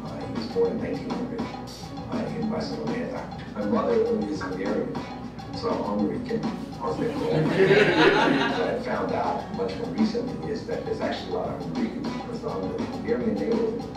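A low-voiced man recounts his origins in an oral history clip, reflective and conversational. The recording is played back across a roomy space. Music plays loudly beneath. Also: sing-song, normal speed, recorded from a distance. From United States. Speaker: interviewee. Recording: interview played in echoey room with music.

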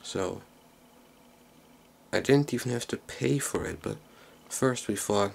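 A man giving a casual, unscripted hands-on demonstration in a low, creaky voice, recorded on a handheld camera microphone. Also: lively intonation, slow. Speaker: hobbyist video maker. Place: small indoor workroom.

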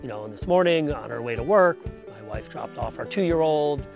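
A man's voice: fairly high pitch, lively intonation, normal speed, creaky, over music. A man in his forties, a dairy farmer, speaking thoughtfully and plainly in a documentary interview outdoors on a lapel mic. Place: outdoors by a stone barn.

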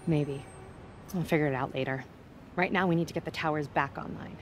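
Female cop in charge, played by an actress: deep for a woman, clipped, dry, video game drama. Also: a little fast, a little hiss or hum, lightly acted. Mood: urgent. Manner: clipped, businesslike. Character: no-nonsense, dry female police captain. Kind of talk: cinematic video game acting.

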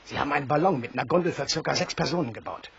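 An actor playing a brash, warm-hearted sailor in a German audio drama, speaking bluntly and colloquially. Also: male voice, a little high, racing, vocal fry. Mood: eager. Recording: dull, hissy old recording.

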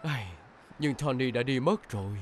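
An actor voicing a gentle, patient father in a cartoon dub. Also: mid-range voice, moderate pace, slight background noise, close to an everyday voice. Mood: worried. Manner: warm, patient. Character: gentle father of a little boy.

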